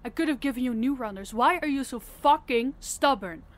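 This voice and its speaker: A woman's voice: sits high, animated, moderate pace. A woman playing a stern, fed-up crime boss in an audio roleplay, commanding and blunt. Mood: angry, commanding. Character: stern, fed-up female crime boss scolding her subordinate.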